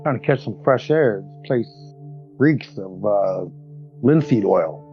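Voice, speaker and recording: A man's voice: lowish voice, expressive, unhurried, dull audio, music in the background. A man playing a tabletop role-playing game, joking casually and laughing often. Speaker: player.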